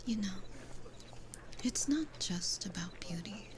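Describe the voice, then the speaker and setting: Woman whispering as a sweet, slow demon florist. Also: medium pitch, lively intonation, background noise. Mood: gentle, reassuring. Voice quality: breathy whisper. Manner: gentle, slow, soothing, with unhurried pauses. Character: sweet, gentle female demon florist, kind to strangers. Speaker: ASMR roleplay performer. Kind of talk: whispered ASMR roleplay.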